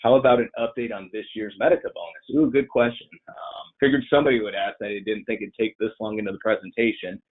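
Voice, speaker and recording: Muffled, band-limited webinar audio carries a very low-pitched man who sounds congested and nasal from a cold. He answers questions in a loose, rambling, conversational way, and his voice is somewhat rough rather than clean. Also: quick pace, few pauses. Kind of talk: informal webinar Q&A. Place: online presentation.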